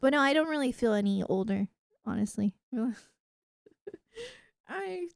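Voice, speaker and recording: A woman's voice: on the high side, sing-song, a little slow, vocal fry, clear, resonant tone, no echo, studio quality. A woman livestreamer chatting casually with her viewers in an enthusiastic, emphatic gamer way. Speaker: video game livestreamer.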